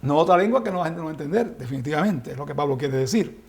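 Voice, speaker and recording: A middle-aged man teaches scripture in a high, gravelly voice. He reads a verse, then explains it plainly, often asking the listeners rhetorical questions. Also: lively intonation, very fast. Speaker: Bible teacher. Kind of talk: Bible study lecture.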